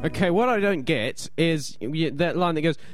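A man's voice: a little high, expressive, normal speed, over loud music. A man from New hosting a community radio show, chatting casually, rambling and reading notes aloud, often stumbling and repeating words.

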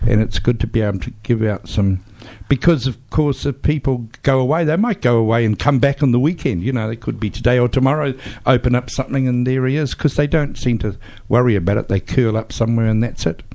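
A man's voice: medium pitch, a little fast, few pauses, dark tone. An older man, hosting a casual radio chat and telling stories with a chuckle. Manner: chatty, anecdotal, chuckles through stories.

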